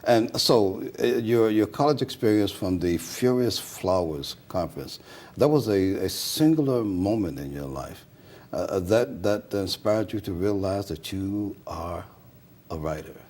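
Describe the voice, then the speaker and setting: Guy hosting a talk show, low husky voice, chatty probing questions, circles back over his wording. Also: animated, a little slow, a little mellow. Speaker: talk show host, interviewer. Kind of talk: conversational interviewing.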